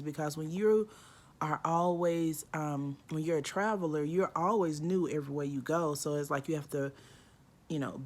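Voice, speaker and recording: A woman in her early forties talking casually and reflectively to camera, with many "like" and "um" fillers, acting out inner voices with sudden pitch jumps. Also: darkish tone. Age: 40s. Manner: frequent "like" and "um" fillers. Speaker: vlogger.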